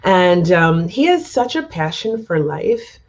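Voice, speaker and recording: A woman co-host speaking in a fairly low voice. She is effusive and gushing, peppering her talk with "um"s. The audio is dull and lo-fi. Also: sing-song, slow, a little mellow. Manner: effusive, gushing praise with many "um"s. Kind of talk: enthusiastic talk show introduction.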